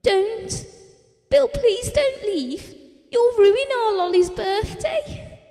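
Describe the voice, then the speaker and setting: A woman's voice: very high voice, animated, drawn-out words, dark tone, full character voice. An actress playing an anxious, grieving wife in an audio drama, confiding her wounded memories of a broken marriage. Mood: distressed, pleading. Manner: wounded, reminiscing, confiding in the listener. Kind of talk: audio drama monologue and dialogue. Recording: reverberant hall-like room sound.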